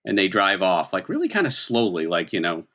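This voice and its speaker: A man's voice: sits low, wildly swooping pitch, a little fast, bright, forceful tone. A man narrating an improvised tabletop role-playing game as game master, in a conversational way and acting out reactions.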